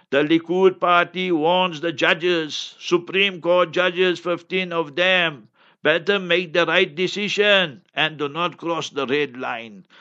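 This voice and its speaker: Male cleric, scornful and blunt commentator, sharp forceful voice pitched a little high, slightly muffled lo-fi recording. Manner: acts out quotes and rhetorical questions. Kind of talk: fiery political commentary with religious quotations. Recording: dull lo-fi audio.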